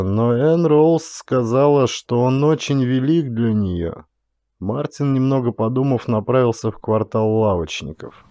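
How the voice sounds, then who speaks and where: A man's voice: medium pitch, dark tone, no echo, studio quality. A man reading an audiobook as dramatic scripted narration.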